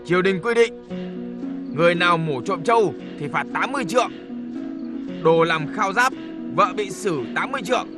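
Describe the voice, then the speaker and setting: High-voiced village man reciting a decree. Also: lively intonation, over music. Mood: solemn. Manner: deliberate, reciting. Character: male villager reading a royal decree aloud. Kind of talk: animated historical drama acting.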